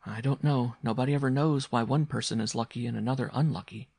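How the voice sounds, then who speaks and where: A man voicing a bitter, disappointed mother explaining things to her child in a measured audiobook delivery. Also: mid-range voice, fairly even intonation, gravelly, a little mellow, slightly rough, no echo, clean studio sound, pitched above the performer's own voice. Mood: resigned, bitter. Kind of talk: literary audiobook character voice.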